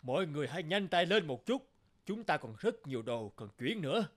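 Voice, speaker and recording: A man's voice: fairly high pitch, very animated, brisk. A man voicing a middle-aged samurai lord in a cartoon, authoritative and urgent. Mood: urgent, stern.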